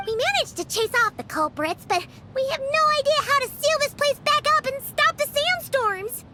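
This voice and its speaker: A woman's voice: very high voice, animated, moderate pace, very noisy. A woman voicing a small, chirpy, childlike fairy companion in a video game, full of exclamations. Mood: worried, explaining. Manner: chirpy, exclaiming, talks about self in third person. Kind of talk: animated video game voice acting.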